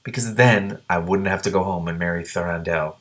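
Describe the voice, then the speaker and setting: A man's voice: low-pitched, fairly quick, fairly bright tone. Guy doing a polite young princess from a storybook, bit nervous but plucky. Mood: polite, eager. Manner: polite, hesitant.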